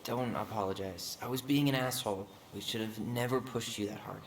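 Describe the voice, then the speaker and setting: A man's voice: lowish voice, brisk, lightly acted. A man playing a wry, laid-back young twin brother in a video game, with an American accent and naturalistic delivery. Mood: easygoing, reassuring. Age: young adult. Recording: game audio with background noise.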